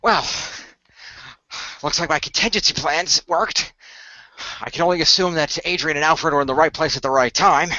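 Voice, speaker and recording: Man playing self-assured hero strategist, bright voice. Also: fairly high pitch, moderate pace, dry recording, dull audio. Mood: confident. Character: calm, confident male hero planner explaining his strategy.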